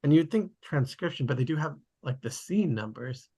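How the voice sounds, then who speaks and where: A man's voice: on the high side, animated, moderate pace, dark tone, breathy edge. A man talking casually and wondering aloud in a group discussion.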